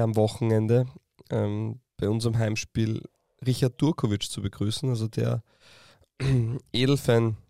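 An Austrian man with a mellow, relaxed voice and plenty of vocal fry, captured close and dry. He chats casually as a co-host on a football podcast. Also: medium pitch, no room sound. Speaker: podcast co-host, football club sporting director.

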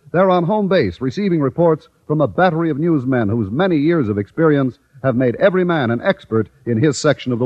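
A man with an American accent reading scripted announcements and narration in a 1950s radio style. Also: fairly high pitch. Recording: muffled old-time radio recording.

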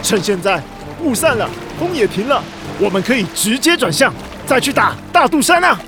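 A man's voice: very high voice, big pitch swings, normal speed, heavily acted. A man playing an excuse-making military subordinate in a radio drama, stammering and wheedling. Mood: nervous, persuading. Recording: music behind.

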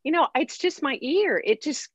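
A woman who is a classically trained singer, hosting an interview in animated, chatty conversation with frequent laughs. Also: high-pitched, moderate pace, no echo, highs cut off. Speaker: podcast host, professional classical singer. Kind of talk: casual interview chat.

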